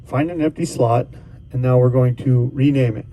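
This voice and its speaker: A man's voice: mid-range voice, steady tone, moderate pace, highs cut off. A man casually talking through a device setup step by step as a tutorial host. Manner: casual, talks through each step as he goes.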